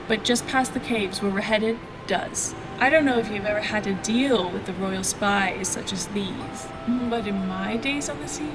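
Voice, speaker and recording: A woman's voice: medium pitch, moderate pace, hardly any pauses, music in the background, close to an everyday voice, rough recording. A woman playing a worldly, roguish seafaring healer in a fantasy drama, teasing, folksy and sure of herself. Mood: sly. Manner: teasing, folksy, self-assured. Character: wry, cocky seafaring female healer, worldly rogue. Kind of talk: scripted fantasy audio drama dialogue.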